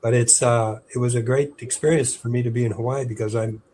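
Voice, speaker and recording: A man's voice: mid-range voice, steady tone, brisk, noisy background. A man in his seventies speaking as a guest in a casual interview, rambling with many fillers and restarts. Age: 70s. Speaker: park historian guest.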